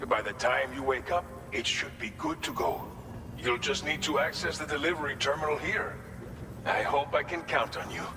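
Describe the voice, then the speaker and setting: An actor playing an earnest, polite settlement official in a video game, speaking a little hesitantly. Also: male voice, on the high side, normal speed, over music, natural voice. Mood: reassuring. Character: earnest, grateful man running a post-apocalyptic settlement facility. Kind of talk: video game cutscene acting.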